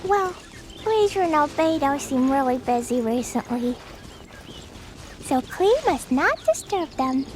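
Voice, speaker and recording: Very high-voiced, sweet little girl adventurer. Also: noisy background, exaggerated voice. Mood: happy, eager. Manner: earnest, childlike, halting. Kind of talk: video game voice acting.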